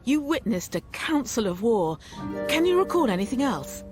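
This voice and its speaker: A woman's voice: a little high, sing-song, normal speed, music in the background. An actress playing a thoughtful, inquisitive female adventurer in a fantasy video game. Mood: curious, pondering.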